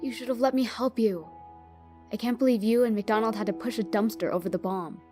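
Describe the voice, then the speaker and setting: A woman's voice: a little high, brisk, mellow, soft background music, natural voice. A woman playing an earnest, loyal police officer in an audio crime drama. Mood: upset.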